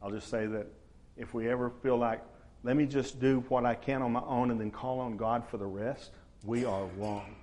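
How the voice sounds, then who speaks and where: A man, preaching a sermon to his church in a relaxed, conversational way. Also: mid-range voice. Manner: conversational, unhurried, frequent uh fillers. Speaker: pastor.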